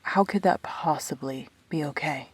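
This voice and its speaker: A woman's voice: low voice, moderate pace, husky. A woman playing a guarded, defensive, brooding immortal in an intimate sci-fi audio drama, terse and hesitant. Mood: bitter, despairing.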